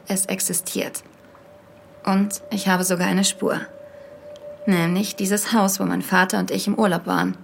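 A woman's voice: medium pitch, creaky, slight background noise. A woman playing a haunted, searching podcaster in an audio drama, speaking with earnest intensity. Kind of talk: audio drama, first-person podcast narration.

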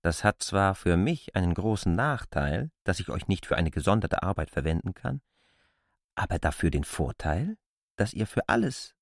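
An imperious stranger in a literary radio drama. He is brusque and exacting, issuing orders with a highly animated, swinging intonation. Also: no echo, clean studio sound, heavily acted. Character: K., stern imperious male stranger commanding his helpers.

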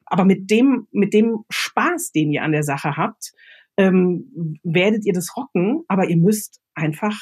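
A woman's voice: medium pitch, expressive, unhurried, darkish tone, slightly rough. A woman giving spontaneous, encouraging advice as a guest writer, often searching for her words. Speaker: guest radio drama author giving advice. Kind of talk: spontaneous advice in casual conversation.

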